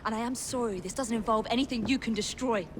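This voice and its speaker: A woman's voice: on the high side, quick pace, very noisy, natural voice. A woman playing a composed, principled Victorian sister in a video game, scolding with clipped, dry reproach. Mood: cool reproach. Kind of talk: dramatic video game dialogue.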